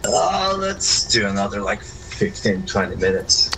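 A man's voice: lowish voice, sing-song, a little slow, pushed and bright, a little hiss or hum, poor audio. A tired man playing a game with a friend for the first time, hesitant and stammering as he asks questions. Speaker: co-op partner, new to the game. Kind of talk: casual gaming chat.

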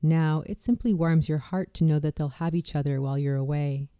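A meditation guide narrating a guided visualization in a slow, soft, soothing delivery with hushed moments. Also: mid-range voice, fairly even intonation, clean tone, no echo, studio quality.

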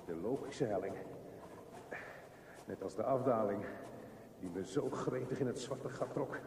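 An actor playing a desperate man struggling through a dark tunnel in a halting, intense radio play monologue. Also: medium pitch, lightly acted. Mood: straining, determined. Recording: noisy, echoey, distant radio play recording.

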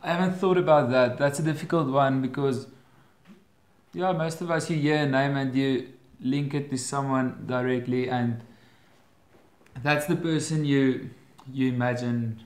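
A young man in his twenties with an Afrikaans accent, answering viewer questions in a casual home vlog, often pausing and restarting his phrases. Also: mid-range voice, steady tone, reverberant. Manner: hesitant, restarts phrases with many fillers. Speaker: vlogger. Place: bedroom at home, indoors. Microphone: webcam at desk.

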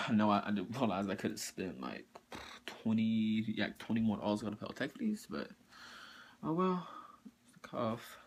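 A teenage boy talks through his new clothes and sneakers in a bedroom, up close to a camera mic. His voice sits slightly low for a guy, and he rambles, stopping and restarting often. Faint clicks and noise sit behind him. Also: animated. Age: teens. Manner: rambling, halting, many fillers and restarts. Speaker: sneaker vlogger. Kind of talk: casual haul video. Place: bedroom, indoors. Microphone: camera or phone mic up close.

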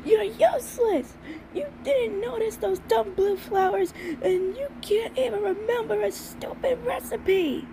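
A woman voicing a hot-tempered, bossy young cartoon filly, forceful and exclamatory, in a fan comic dub. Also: extremely high pitch, measured pace, noisy background. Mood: furious.

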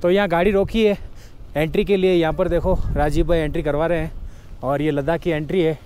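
A man's voice: a little high, steady tone, fairly quick, a little mellow, a little hiss or hum. A man in his thirties vlogging a mountain road trip from inside a car, casually pointing out the sights to his viewers. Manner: chatty, pointing things out to viewers. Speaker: travel vlogger.

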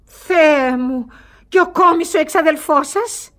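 A woman's voice: high-pitched, a bit bright. An actress in a radio play, playing a whimsical, bored aristocratic lady in her sixties with a theatrical, effusive manner. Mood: delighted, gushing.

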